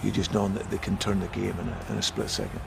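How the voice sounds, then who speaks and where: A man's voice: deep voice, little pitch movement, fast, creaky. A man from a football club reflecting in a documentary interview. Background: music.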